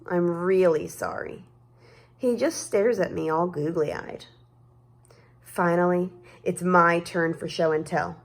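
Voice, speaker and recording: Slow, expressive woman reading a children's story. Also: lowish voice. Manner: deliberate, dramatic emphasis with drawn-out words. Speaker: storybook reader. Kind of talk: expressive children's read-aloud narration.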